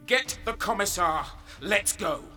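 A man playing a cold, sadistic soldier who narrates a dark war story with dramatic deliberation. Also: sits high, animated, measured pace, soft background music, heavily acted. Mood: urgent. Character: cold-hearted, sadistic young male soldier turned traitor. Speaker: narrator and lead character.

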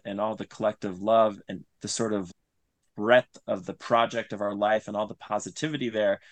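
A man's voice: lowish voice, dry recording. A man speaking as an interview guest in casual, reflective conversation, earnest and informal.

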